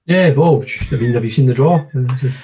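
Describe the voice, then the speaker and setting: Mellow-voiced Scottish man chatting. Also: medium pitch, a little fast, slight background noise. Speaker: football podcast co-host. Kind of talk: casual football chat.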